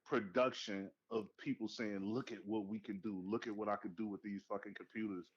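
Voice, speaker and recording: A man co-hosting a podcast in casual movie chat, animated and profane, jumping to high excited outbursts. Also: a little fast, slightly rough. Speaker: podcast co-host. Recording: dull lo-fi sound.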